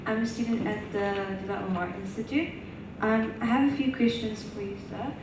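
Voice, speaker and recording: A woman's voice: mid-range voice, steady tone, moderate pace. A woman student asking a long, carefully built question from the audience at a conference. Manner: careful, detailed multi-part questioning. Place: large conference hall. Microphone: distant microphone. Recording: echoey hall, distant mic, noisy.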